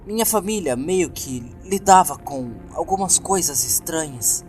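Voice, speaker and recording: A Brazilian man voicing an earnest, troubled young woman who practises witchcraft, explaining herself haltingly in a horror story. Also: a little high, expressive, a little mellow, voice pushed up. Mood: confiding, uneasy. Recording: music bed behind the voice.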